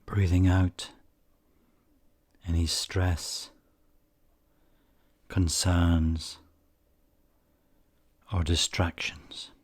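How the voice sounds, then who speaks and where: A man leading a guided meditation in a calm, measured way with frequent pauses, his husky voice softening at the ends of phrases. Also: sits low. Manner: calm, measured, pausing often. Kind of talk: slow guided meditation narration.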